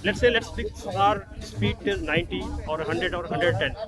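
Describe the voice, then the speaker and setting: A man's voice: sits high, normal speed. A man with an Indian accent explaining a product casually and somewhat hesitantly, as a rider who tests gear. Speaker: motorcycle club rider and product tester. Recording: loud music behind the voice. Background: loud music.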